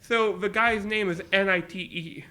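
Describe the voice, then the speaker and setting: A young man with a noticeably nasal, high-set voice talks loosely and at length. His tone is bright and edgy, and he drifts from one story to the next in casual podcast chat. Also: moderate pace. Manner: rambling, animated storytelling with put-on voices. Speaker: podcast co-host.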